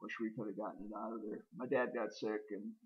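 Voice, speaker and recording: A middle-aged man with a lowish voice talks his way through an old barn, pausing often with ums and uhs as he points things out. The sound is dull and lo-fi, straight from a handheld camera's built-in mic, outdoors and inside the barn. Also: steady tone. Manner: rambling, hesitant, many ums and uhs. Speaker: homesteader filming his barn. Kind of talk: casual narrated walkthrough.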